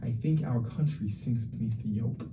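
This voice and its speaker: A young man playing a self-doubting exiled prince in a student Shakespeare dramatization, reciting archaic verse formally and with measured care. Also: mid-range voice, noisy background, lightly acted. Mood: grave, earnest.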